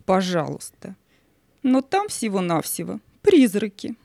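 A stern queen of the night from an audio fairy tale, acted by a woman. She is haughty and imperious, with a mid-pitched voice in a dry, close recording. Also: very animated, measured pace, vocal fry, mellow, dry recording. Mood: reluctant, warning. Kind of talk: audio play acting.

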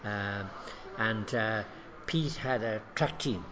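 An older man with an Irish Cork accent, an athletics coach recalling his start in an interview, measured and reflective. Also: lowish voice, muffled recording, background noise. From Ireland. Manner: measured, reflective, with many fillers. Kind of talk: interview storytelling.

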